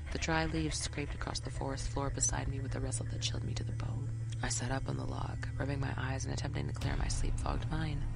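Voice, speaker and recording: A storyteller narrating a scripted horror tale in the first person, with a measured, suspenseful delivery and a creaky voice that turns breathy at the ends of phrases. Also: medium pitch, heavy background noise. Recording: music behind the voice. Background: background music.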